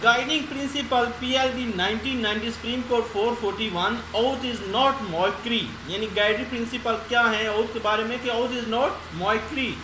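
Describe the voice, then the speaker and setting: A woman with a Pakistani accent giving a law lecture, reading notes aloud deliberately and explaining them by restating. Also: fairly high pitch, steady tone. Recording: roomy echo, loud background noise.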